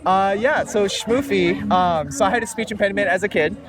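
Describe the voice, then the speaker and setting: A man's voice: high-pitched, a little fast. A young man whose first language is Tagalog, a music producer, talking casually and rambling with many fillers in an interview outdoors at the beach. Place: outdoors at a beach, traffic nearby. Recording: music playing under the voice.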